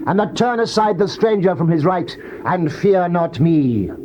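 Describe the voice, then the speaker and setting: A stern, solemn divine judge declaims in a fairly high voice with sweeping, dramatic rises and falls. The delivery is classical staged scripture, on a muffled, clearly noisy old cassette. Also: male voice, expressive, unhurried, dark tone. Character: stern God of Israel speaking judgment through his prophet.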